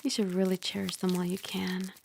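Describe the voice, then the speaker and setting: A woman voicing a caring young dragon roommate in a soft ASMR roleplay, gently bossy and teasing as she gives close-mic instructions. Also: mid-range voice, completely flat delivery, fast talker, vocal fry, slight background noise, natural voice. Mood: soothing. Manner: gently bossy, teasing, puzzled by human ways. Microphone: close ASMR microphone.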